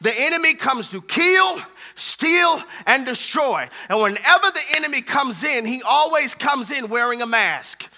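A man's voice: very high-pitched, lively intonation, a little slow, sharp tone. An American man preaching a fervent sermon with emphatic, repetitive rhetorical phrasing.

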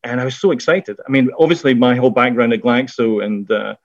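A middle-aged man with a Scottish accent, talking through his career as an interview guest in a measured way, with frequent fillers and restarts. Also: medium pitch, steady tone, dry recording, highs cut off. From Scotland. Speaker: biotech executive guest. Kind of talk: conversational interview.

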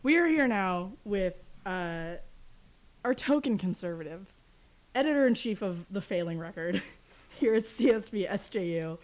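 A woman's voice: mid-range voice, lively intonation, moderate pace. A young woman from Portland hosting a college radio talk show, teasing her co-host and guest with a playful, laughing manner. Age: young adult. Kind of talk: casual banter and interview.

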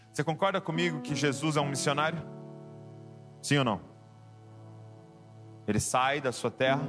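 A man preaching a sermon to a congregation, emphatic and rising to passionate exhortation. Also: mid-range voice. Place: church with keyboard behind.

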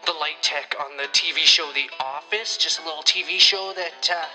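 A man's voice: on the high side, moderate pace, sharp tone. A man playing a self-important small-town comedy promoter, rambling hesitantly and pompously through a voicemail-style message with petty threats. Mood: smug, veiled threat. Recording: phone call with faint music.

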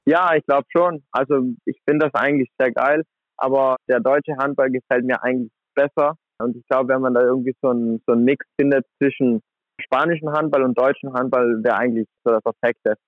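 Young male athlete guest, casual and chatty, mid pitch, heard over a thin phone line. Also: a little fast, dry recording. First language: German. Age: 20s. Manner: relaxed, chatty, many fillers like "sag ich mal". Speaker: guest handball player. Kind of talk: casual interview.

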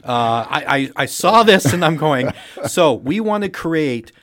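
A man's voice: a little high, expressive, measured pace, fairly bright tone. A man giving conversational news commentary as a panelist, with a blunt, emphatic and opinionated way of talking. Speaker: newspaper journalist as panel commentator. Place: radio studio.

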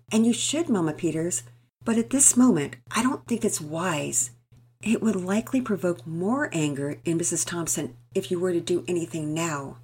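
A steady, kindly father giving measured counsel in a read-aloud story, as a woman narrator takes his part. The voice stays close to the reader's own, slightly husky and unhurried. He speaks with quiet assurance throughout. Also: fairly low pitch. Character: steady, kind father, middle-aged man.

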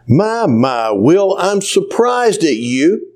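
A man voicing a cheerful, devout elderly woman, folksy and gently scolding. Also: high-pitched, big pitch swings, slow, voice pushed up, exaggerated voice.

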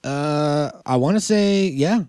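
A man's voice: on the high side, animated, slow pace, a little mellow, clean tone, no echo. Casual male podcaster, close and dry. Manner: laid-back, slangy and profane. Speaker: podcast host. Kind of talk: casual banter between co-hosts.

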